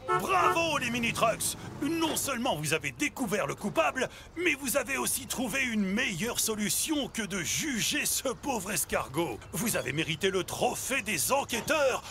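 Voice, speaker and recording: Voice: medium pitch, sing-song, normal speed, hardly any pauses, slight background noise. An actor voicing a hearty grown-up talking truck in a French children's cartoon, theatrical and emphatic. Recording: loud music bed behind the voice.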